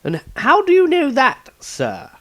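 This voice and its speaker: Man putting on a high, questioning young wizard apprentice. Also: huge pitch swings, fairly bright tone, full character voice. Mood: doubtful. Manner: questioning, strained, halting. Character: young male wizard apprentice, doubting and emotional. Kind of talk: fantasy audiobook character reading.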